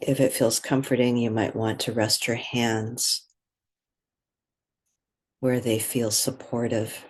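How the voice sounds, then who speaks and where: A meditation guide speaking gently and in a measured way, leaving long pauses between phrases. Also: medium pitch, little pitch movement, husky. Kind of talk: guided meditation, soothing spoken instruction.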